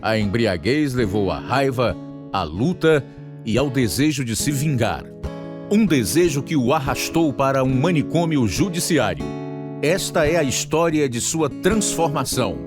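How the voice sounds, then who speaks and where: A man's voice: mid-range voice, expressive, measured pace. A man with a Brazilian accent giving solemn, formal scripted narration as announcer of a radio drama series. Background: guitar music.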